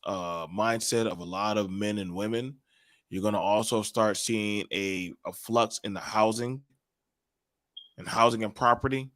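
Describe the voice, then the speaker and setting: Halting low-voiced man hosting a panel. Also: dry recording, clean studio sound. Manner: halting, many fillers and restarts. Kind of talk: casual online panel chat.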